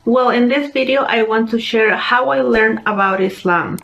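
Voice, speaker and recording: A young woman from Peru with a Peruvian Spanish accent, telling her personal story in an unhurried way, with many pauses and fillers. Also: a little high, gravelly, fairly bright tone, dull audio, slight background noise. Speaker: convert sharing her story. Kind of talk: personal testimony monologue.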